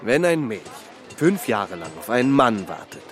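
A man's voice: medium pitch, very animated, moderate pace, soft background music, natural voice. An actor playing a gossiping, meddling countryman in a radio drama, speaking in a probing, insinuating and scornful way. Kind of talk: scripted German radio drama acting.

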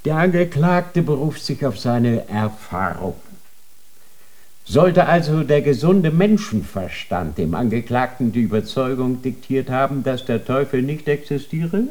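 A stern, formal inquisitor. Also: male voice, mid-range voice, big pitch swings, measured pace, a little mellow. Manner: formal, cold, interrogating. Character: stern male inquisitor of a holy tribunal. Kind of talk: radio drama acting.

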